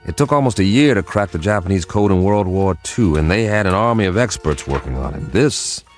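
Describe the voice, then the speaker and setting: An actor playing a weary but determined government codebreaker in a radio drama, with an emphatic, wry manner. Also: male voice, low voice, expressive, brisk, soft background music. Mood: weary, exasperated.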